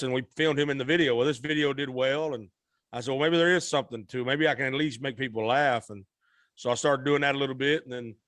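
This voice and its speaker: A man in his thirties from Arkansas, a guest singer telling his story in a relaxed, rambling, folksy way, often correcting himself. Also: mid-range voice, clear, resonant tone, dry recording.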